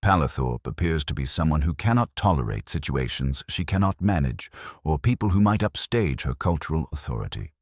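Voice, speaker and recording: A man reads as a shrewd police inspector in a mystery audiobook, methodical and dryly formal. The voice is extremely deep and husky, with deliberate delivery. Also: animated, no echo, studio quality.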